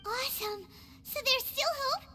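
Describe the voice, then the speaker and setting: A woman voicing a tiny, excitable, anxious fairy companion with a squeaky childlike voice in an anime game. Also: measured pace, heavily acted. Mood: hopeful. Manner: exclaims, refers to self in third person. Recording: loud music behind, reverberant hall.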